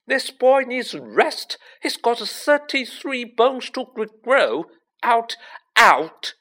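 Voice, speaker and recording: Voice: mid-range voice, sing-song, a bit bright, pitched above the performer's own voice, heavily acted. A storybook reader voicing a stern, bossy female school nurse with a scolding manner. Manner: brisk, scolding.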